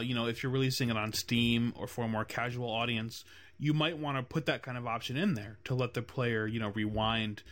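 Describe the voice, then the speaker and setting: A man hosting a laid-back podcast about games. He talks at a middling pitch and wanders through his points with lots of fillers and restarts. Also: fairly bright tone. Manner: rambling, many fillers like "you know" and "um". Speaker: podcast host. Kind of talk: casual podcast chat about game design.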